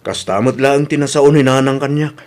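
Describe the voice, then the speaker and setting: A man's voice: medium pitch, moderate pace, darkish tone, lightly acted. A man with an Ilocano Filipino accent playing a casual, concerned grown son in a radio drama. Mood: amused.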